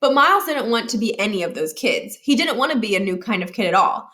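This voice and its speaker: A woman with a slightly high voice reads a children's novel aloud. Her delivery is expressive storytelling, made for young listeners. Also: quick pace. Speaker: storybook reader.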